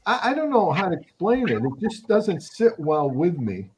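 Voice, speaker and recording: Older man, animated high-pitched voice. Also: slight background noise, low-quality recording. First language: Arabic. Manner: halting, searching for words. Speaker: academic guest, anthropologist. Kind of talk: reflective interview conversation.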